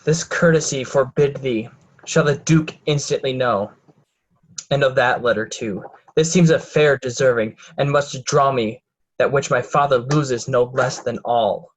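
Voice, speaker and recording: A man playing a cold, ambitious young schemer, measured and calculating, in an amateur Shakespeare reading. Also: medium pitch, close to an everyday voice, poor audio. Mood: coolly scheming.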